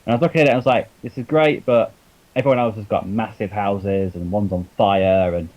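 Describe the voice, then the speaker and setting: A man co-hosting a casual podcast, chatty and halting, often restarting his sentences. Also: on the low side, steady tone, muffled recording. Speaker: podcast co-host.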